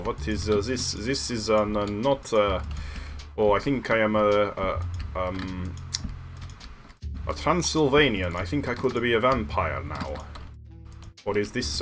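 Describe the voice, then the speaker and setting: A man putting on a joking Eastern European accent that drifts between countries, hammy and drawn out. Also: lowish voice. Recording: background music under the voice.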